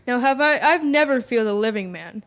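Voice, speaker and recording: A woman's voice: fairly high pitch, lively intonation, moderate pace, a bit bright. A young female performer playing a boastful, fearless young village rider with a cocky manner in a radio play. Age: young teen. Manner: cocky, challenging. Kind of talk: stage radio play acting.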